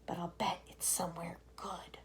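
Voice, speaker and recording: A woman's voice: high voice, very animated, fairly quick, voice pushed up. A woman voicing a cheerful, kindly old male grasshopper in a storybook reading. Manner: encouraging, kindly.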